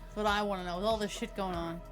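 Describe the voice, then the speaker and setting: A woman's voice: mid-range voice, fairly even intonation, fast talker, soft background music. A woman chatting casually as a player in a tabletop roleplaying game. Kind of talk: casual chat.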